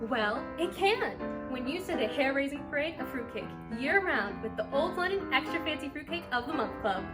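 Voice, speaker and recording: Woman ad announcer over loud music. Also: sits high, lively intonation, moderate pace. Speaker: commercial announcer. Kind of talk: old-time radio advert, scripted sales read. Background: loud instrumental music.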